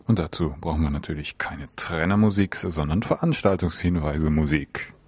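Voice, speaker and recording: A man with a very low voice hosts a radio show in a casual way. He links announcements while music plays clearly behind him. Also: moderate pace. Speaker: radio host.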